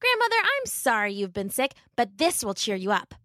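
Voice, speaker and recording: A cheerful, well-mannered little girl from a storybook, voiced by a woman, high and earnest. Her lines bounce with animated pitch, and the sound is dry and close. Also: normal speed, no room sound, full character voice. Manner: bright, earnest and polite.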